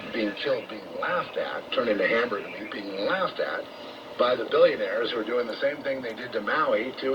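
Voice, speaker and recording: An American man giving a rambling, off-the-cuff radio monologue as a host, halting, repetitive, grandiose and coarse-spoken, over shortwave radio. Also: mid-range voice. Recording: muffled, very noisy distant shortwave radio broadcast.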